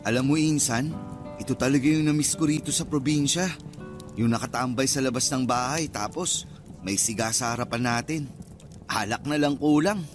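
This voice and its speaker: A man's voice: medium pitch, moderate pace, music in the background, lightly acted. A man playing a superstitious, easily spooked young man chatting in slang in a Filipino radio drama. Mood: relaxed. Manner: casual slangy banter, jittery. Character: superstitious, easily spooked young male cousin, construction worker.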